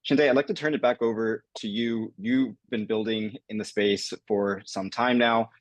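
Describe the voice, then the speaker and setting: A man's voice: on the low side, steady tone, normal speed, no room sound. A man moderating a panel discussion, introducing guests in an upbeat, scripted way.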